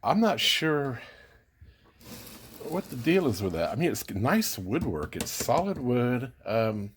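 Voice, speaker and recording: A man's voice: medium pitch, sing-song, a little hiss or hum. Rambling man in casual show-and-tell. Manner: rambling, halting, thinking aloud. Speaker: vlogger showing vintage audio gear.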